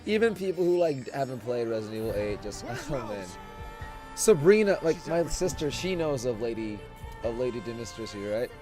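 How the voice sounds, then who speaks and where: Streamer, man, hoarse just-woke-up voice, rambling chat, average pitch. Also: big pitch swings, moderate pace, music in the background, low-quality recording. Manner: rambling, chatty, laughs often. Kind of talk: casual live-stream watch-along chat.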